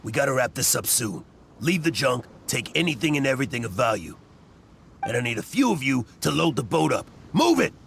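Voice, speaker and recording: Gravelly, rough treasure thief. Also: male voice, medium pitch, big pitch swings, fairly quick, a bit bright, a little hiss or hum. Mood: bossy, hurried. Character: rough, bossy treasure thief, easily cowed. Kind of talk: video game voice acting.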